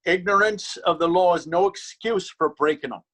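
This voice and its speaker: A man's voice: high voice, moderate pace, a bit bright. A man acts out a beat officer in a stage reading. He is stern and weary, speaking in a somewhat raised register. His manner is admonishing and authoritative, with a bullying edge. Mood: dry, weary. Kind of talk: readers theater stage acting.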